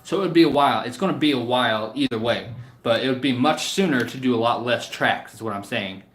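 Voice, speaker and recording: A man's voice: mid-range voice, fast, a bit bright. A man chatting casually to viewers as a livestream host, in a hesitant, rambling way that often trails off. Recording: roomy, echoing room sound.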